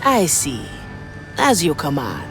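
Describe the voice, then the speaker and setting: A woman's voice: low-pitched, huge pitch swings, takes it slowly, music in the background, heavily acted. An actress playing a wry, daring woman pirate navigator with a cool, confident manner.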